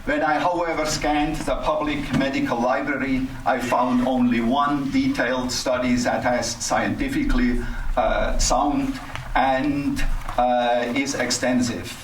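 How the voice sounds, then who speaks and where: An older man giving a formal, scholarly prepared address at a public meeting, speaking haltingly. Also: medium pitch, steady tone. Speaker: resident speaking at public comment, cancer researcher. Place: community center meeting hall. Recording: roomy, distant mic, faint noise.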